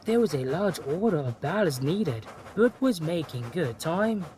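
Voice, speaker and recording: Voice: medium pitch, sing-song, a little slow, a little mellow, slight background noise. A narrator reading a scripted children's story, with music playing behind.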